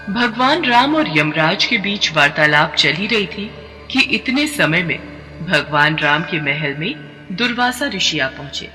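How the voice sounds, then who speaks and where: A man narrating a devotional story in a steady, expressive way. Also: high-pitched, unhurried. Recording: long reverb over background music.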